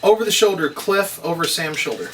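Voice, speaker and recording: Guy reading script directions matter of fact, crisp short phrases, sharp tone, bit far off w faint noise. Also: fairly high pitch, expressive, a little fast. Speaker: stage-direction reader. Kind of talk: scripted screenplay narration at a table read. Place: indoor table read, recorded on camera. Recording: distant mic, faint room noise.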